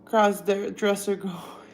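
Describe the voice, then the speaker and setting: A woman's voice: mid-range voice, little pitch movement, takes it slowly, a little hiss or hum. Young woman, Russian accent. Chatty livestream host, easygoing tone, reads viewer questions aloud. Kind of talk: casual livestream chat.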